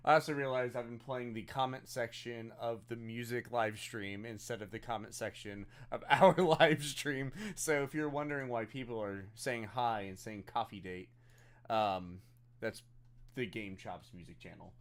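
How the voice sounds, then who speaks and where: A man with a medium-pitched voice hosts a casual live stream. He talks in a chatty, self-deprecating way over an online voice chat. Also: moderate pace. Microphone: voice chat on a computer.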